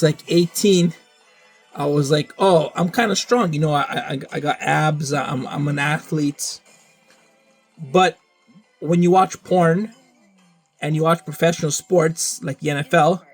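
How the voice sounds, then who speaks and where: A young man from Canada, talking in a rambling, profane monologue and repeating himself often. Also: fairly high pitch. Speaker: vlogger. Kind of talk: casual monologue.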